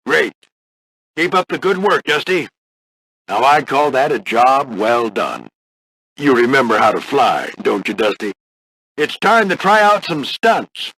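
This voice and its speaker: An older American man voicing a gruff veteran warplane flight instructor in a video game, husky and folksy, coaching firmly but with encouragement. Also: medium pitch, big pitch swings, measured pace, sharp tone, no room sound, exaggerated voice, poor audio. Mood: encouraging. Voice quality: gravelly, husky. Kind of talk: scripted video game character lines.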